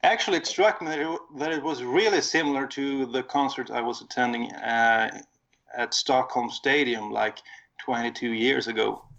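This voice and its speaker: Man, Swedish accent, gravelly voice, rambling podcast chat, dull lo-fi sound. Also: mid-range voice, normal speed, fairly bright tone. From Sweden. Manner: rambling, self-correcting recollection. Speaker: podcast co-host. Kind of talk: casual chat. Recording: dull lo-fi audio.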